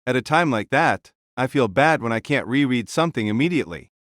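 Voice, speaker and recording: A man's voice: medium pitch, expressive, fairly quick, fairly bright tone, no echo, clean studio sound, natural voice. A man playing a fearless, hearty ancient warrior-king in an audio drama, speaking bluntly and grandly. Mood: matter-of-fact boasting.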